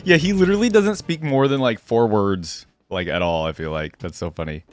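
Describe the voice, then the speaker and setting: A man's voice: fairly low pitch, brisk, very noisy. A man hosting a reaction video, giving casual commentary, rambling as he thinks aloud and sometimes reading text out.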